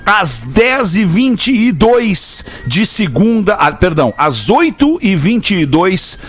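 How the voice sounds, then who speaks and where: A man hosting, with a fairly high voice that swings widely as he reads out news and jokes around. Faint music plays underneath. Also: very animated, slow, slightly rough. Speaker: host. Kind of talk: casual radio chat and news reading.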